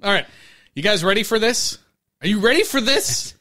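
High, bright-voiced male podcast host. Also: moderate pace. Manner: animated, laughs often. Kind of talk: casual chat.

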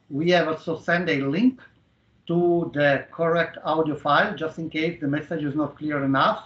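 A middle-aged man explaining a software demo step by step with halting, non-native phrasing, over a video-call headset. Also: a little high. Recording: dull, roomy online call audio.

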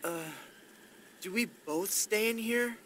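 High-voiced, brash teenage trainee knight. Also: male voice, slow, a bit bright, slight background noise. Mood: grumbling. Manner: brash, complaining. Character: cocky, whiny teenage boy trainee knight. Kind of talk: scripted video game acting.

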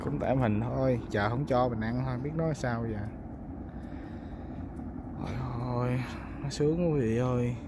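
A man's voice: medium pitch, steady tone, moderate pace, pauses often. A man with a Mekong Delta accent chatting casually and colloquially to viewers as a fishing vlogger, often exclaiming. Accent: Southern Vietnamese, Mekong Delta. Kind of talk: casual outdoor vlog chat. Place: outdoors on a small boat on a river. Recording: clear outdoor boat noise.

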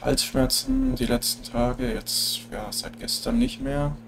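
Slow-talking gravelly man with a cold. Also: medium pitch, noisy background. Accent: German. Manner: relaxed, chatty, frequent filler tags. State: stuffy nose from a cold. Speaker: let's play gamer. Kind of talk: casual gameplay commentary.

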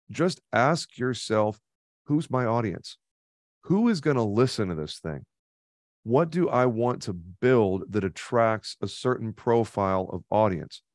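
A man in his thirties talks on a studio mic with a low voice, guiding the listener with emphasis. The sound is dry and close, with no room around it. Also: no room sound. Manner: emphatic, coaching, repeats key points. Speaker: podcast guest. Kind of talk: conversational webinar interview. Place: home studio with neon sign. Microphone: studio mic on boom arm, headphones.

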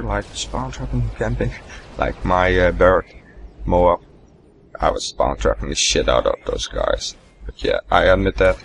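A young man talks over his gameplay in a very low, gravelly voice, rambling loosely and swearing as he goes. A faint hum of noise sits under the recording. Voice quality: deep, gravelly morning voice. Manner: rambling, swearing, laughing at himself. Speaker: gaming commentator. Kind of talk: casual gameplay commentary.

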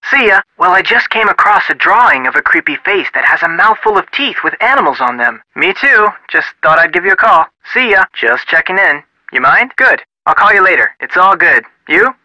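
Breezy young American detective, higher-pitched. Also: male voice, sing-song, brisk, few pauses, bright, forceful tone, no echo, full character voice, low-quality recording. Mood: casual, curious. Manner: casual, folksy, chatty. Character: friendly, breezy young male amateur detective. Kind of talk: video game dialogue.

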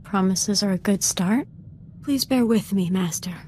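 A woman's voice: mid-range voice, measured pace, dark tone, slight background noise, natural voice. A woman playing a humble, self-doubting young Jedi apprentice in a video game, speaking formally and earnestly.

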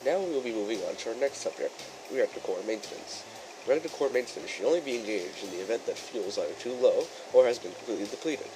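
A man playing a courteous chief engineer who presents a training film in formal, measured, instructional narration. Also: medium pitch, lively intonation, natural voice, poor audio. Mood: instructive, formal. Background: music behind the voice.

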